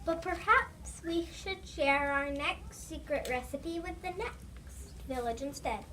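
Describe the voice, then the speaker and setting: Kid reading a play part out loud, high voice, kinda far from mic. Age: child. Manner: scripted, deliberate recital. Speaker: student reader. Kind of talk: reader's theater reading aloud. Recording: distant mic, noisy room ambience.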